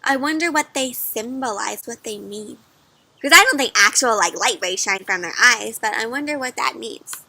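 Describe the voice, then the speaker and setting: Female storyteller for kids: high, bright, expressive, question-led interactive read-aloud, dry close sound. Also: moderate pace, creaky, dry recording. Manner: expressive, prompting listeners with questions.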